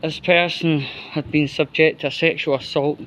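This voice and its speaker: A Scottish man giving an unscripted opinion monologue as a vlogger, in halting, broken phrases with repetition. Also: on the high side, little pitch movement, a bit bright, a little hiss or hum, poor audio.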